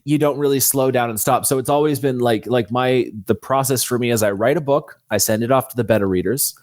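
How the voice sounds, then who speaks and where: A man's voice: mid-range voice, a little fast, a little mellow, no echo, clean studio sound. Earnest man explaining in an interview. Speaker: guest author. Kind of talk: conversational interview.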